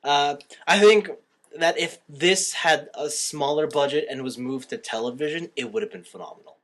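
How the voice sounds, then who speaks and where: A man's voice: fairly high pitch, moderate pace, fairly bright tone. A man hosting a casual movie review podcast, giving opinions and often rewording himself as he goes. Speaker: podcast host.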